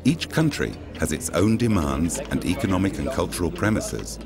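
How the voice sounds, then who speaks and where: A man reading a scripted promotional voice-over as a documentary narrator, in a measured, formal and informative way. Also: sits low, sing-song, vocal fry. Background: music bed.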